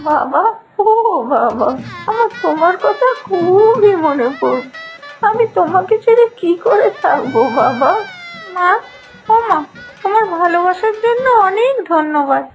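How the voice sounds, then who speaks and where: A woman voicing a tearful young daughter in an animated fairy tale, whining and pleading. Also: very high voice, unhurried, faint music behind, heavily acted, rough recording.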